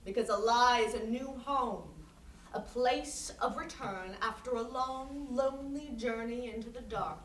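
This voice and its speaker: Woman doing a grandiose pushy philosopher interviewer lady, high voice, far off mic in a live theater. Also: a little slow, natural voice. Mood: grandly philosophical. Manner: grandiose, theatrical, oracular pronouncements. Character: domineering, philosophizing interviewer pushing another to abandon ego. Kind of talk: staged play reading before a live audience. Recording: echoey stage from far off, audience noise.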